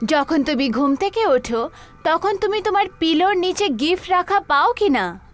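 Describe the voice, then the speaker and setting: A woman voicing the protective mother of a young girl in an animated story. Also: extremely high pitch, a little slow, faint music behind, full character voice. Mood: gently scolding.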